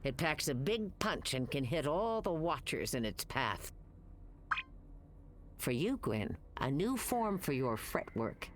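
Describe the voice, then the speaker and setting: A woman voicing a commanding female starship captain hologram in a video game, calm and authoritative. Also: low voice, slow, noisy background, heavily acted. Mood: briefing. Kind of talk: video game cutscene acting. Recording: game cutscene with loud music behind.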